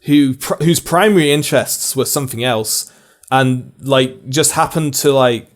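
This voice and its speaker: A man's voice: medium pitch, measured pace. A man hosting a casual podcast chat, playful and jokey, often laughing between lines. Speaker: podcast host.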